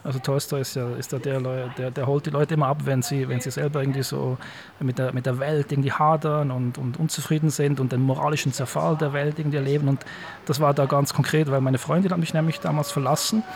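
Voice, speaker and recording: Halting man with a creaky voice. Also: medium pitch, steady tone. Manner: halting, repeats words while thinking aloud. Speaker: law professor, interviewee. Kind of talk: casual reflective interview talk. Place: university office.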